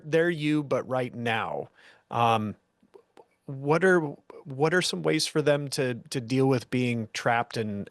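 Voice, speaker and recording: Halting man co-host. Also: medium pitch, dry recording, clean studio sound. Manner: halting, with many restarts. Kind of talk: casual chat.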